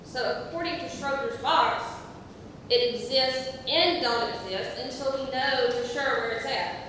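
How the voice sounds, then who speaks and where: Stage comedy: actress playing a giggly, rambling woman, high bright voice, halting delivery, distant theatre-hall sound. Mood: earnest, explaining. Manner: halting, slow, rambling explanations. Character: giggly woman slowly telling a rambling joke. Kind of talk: amateur stage comedy acting. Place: theatre stage, picked up from afar. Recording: distant, echoey theatre hall, faint noise.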